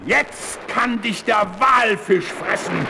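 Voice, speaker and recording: An actor playing a gruff, bossy man who gives orders in a children's radio play. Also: sits high, slow, background noise, full character voice. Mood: menacing. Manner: commanding.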